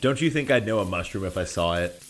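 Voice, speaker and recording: An actor playing a pushy, overfamiliar academic colleague in an audio play, breezy, talkative and patronizing. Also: male voice, on the low side, expressive, fast, slight background noise, lightly acted. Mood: dismissive.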